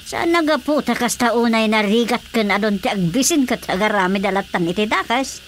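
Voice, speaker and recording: High-voiced, concerned Ilocano village mother played by a woman. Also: animated, a little fast, slight background noise, lightly acted. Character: worried, gossiping village mother reporting local troubles. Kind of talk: Ilocano radio drama acting.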